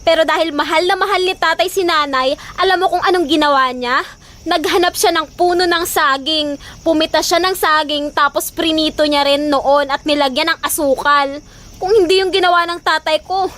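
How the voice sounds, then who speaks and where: A pouty pregnant girlfriend in an audio drama. Her voice is very high, whiny and insistent, full of vain demands. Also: steady tone, normal speed, a bit bright. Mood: insistent, boastful. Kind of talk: Filipino audio drama voice acting.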